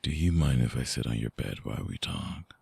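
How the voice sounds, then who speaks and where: A man playing a remorseful, lovesick vampire ex-boyfriend in an intimate ASMR roleplay, husky and breathy, hesitant and pleading, close on the mic. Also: extremely low pitch, big pitch swings, fairly quick, a little hiss or hum, heavily acted. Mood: tender, pleading. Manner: hesitant, soft-spoken, pleading. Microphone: close binaural microphone, dry.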